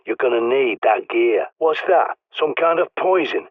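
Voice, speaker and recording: An actor playing a gruff, bossy British survivalist who guides a player by radio, speaking bluntly and swearing freely. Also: male voice, medium pitch, lively intonation, moderate pace, no room sound. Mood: dry, scornful. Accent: British English. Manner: barking orders over a radio. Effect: radio filter. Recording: tinny dry radio-line sound.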